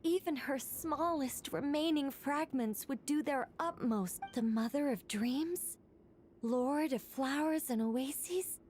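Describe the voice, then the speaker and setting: A woman playing a solemn, knowing guide in a video game, speaking in a measured, formal way. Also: high voice, darkish tone, slight background noise. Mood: calm, solemn. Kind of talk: scripted video game dialogue.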